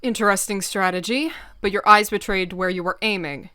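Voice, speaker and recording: Woman playing a bright-voiced stern cat leader. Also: mid-range voice, clean tone, no room sound, studio quality, lightly acted. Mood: calm, instructive. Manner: firm, measured, deliberate. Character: stern, commanding female warrior cat leader and mentor. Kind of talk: fan-dub audiobook drama acting.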